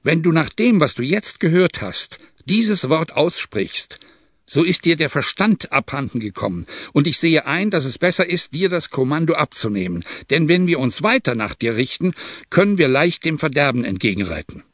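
A man voicing a proud, furious young Bedouin sheikh who shouts commands and accusations in an audiobook reading. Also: on the high side, big pitch swings, normal speed, no room sound, pitched above the performer's own voice.

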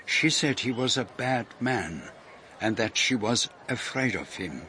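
A man's voice: medium pitch, lively intonation, measured pace, sharp tone, a little hiss or hum. A man playing a courteous foreign detective in a radio drama, formal and politely probing as he questions people and narrates his case.

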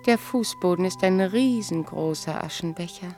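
An actress playing a mature, serene wife who appears like a dream in a radio play, speaking in measured, poetic phrases. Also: female voice, fairly low pitch, darkish tone, faint music behind, close to an everyday voice.

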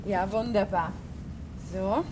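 A woman's voice: medium pitch, slow, natural voice. An actress playing a determined, caring and inquisitive woman in a German radio play. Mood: curious. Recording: radio play with clear background noise.

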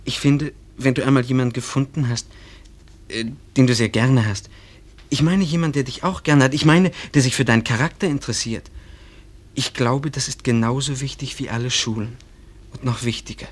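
A man playing an earnest, bashful small-town schoolboy in love, speaking haltingly in a German radio play. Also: medium pitch, lightly acted. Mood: bashful, sincere. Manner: halting, searching for words.

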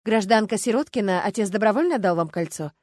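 A woman's voice: medium pitch, animated, fast talker, a little mellow, no room sound, close to an everyday voice. Casting: female reader as tired, matter-of-fact policeman, dry authority, audiobook crime story character. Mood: brisk. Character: weary, businesslike male police investigator.

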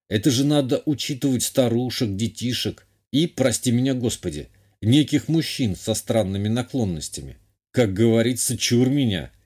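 A man's voice: medium pitch, mellow, slightly rough. A man playing a sardonic young count, a reluctant hero in an audiobook, with dry, grumbling delivery. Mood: wry.

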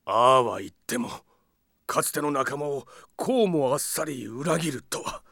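An actor playing a loyal, steadfast warrior, speaking earnestly, formally and with composure in an audio drama. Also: male voice, mid-range voice, animated, measured pace, fairly bright tone, no room sound, studio quality. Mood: composed, earnest.

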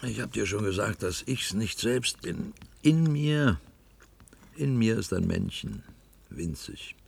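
An actor playing a weary, brooding middle-aged worker confined underground, musing with resignation in a science-fiction radio drama, his voice gravelly and husky. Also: fairly low pitch, big pitch swings, slow pace, full character voice. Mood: brooding, resigned. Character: brooding, weary male worker confined to a cellar control room.

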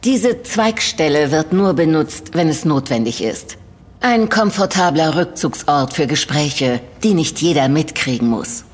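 A woman's voice: deep voice, expressive, breathy edge, full character voice. An actress playing a smug, condescending woman of power, a scheming investor in a German audio drama. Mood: cool, condescending. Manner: condescending, mock-affectionate, measured. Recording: loud music bed behind the voice.

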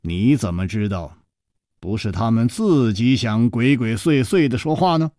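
A man voicing a calm, wry young male hero who speaks low and softly. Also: a little slow, no echo, studio quality, lightly acted. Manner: low, soft, understated.

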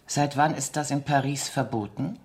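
A woman's voice: extremely low pitch, moderate pace, a little mellow. An actress playing a cool, seductive female spy under interrogation in a radio drama, poised, teasing and defiant. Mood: teasing, coy. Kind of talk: German radio drama acting.

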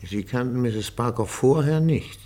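An actor in a German radio drama playing a formal, probing interrogator with a restrained manner. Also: male voice, mid-range voice, lively intonation, unhurried, dark tone, natural voice. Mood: calm, probing.

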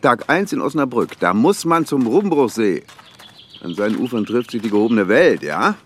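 An actor playing a teasing, jovial senior police detective in a radio crime drama, with a wry, declamatory manner. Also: male voice, mid-range voice, big pitch swings, moderate pace, slight background noise, lightly acted.

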